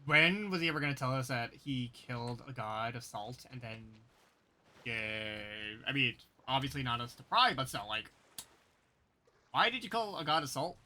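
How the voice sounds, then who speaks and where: A man's voice: medium pitch, expressive, moderate pace, pushed and bright. A man giving casual live commentary as he streams a game. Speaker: game livestreamer.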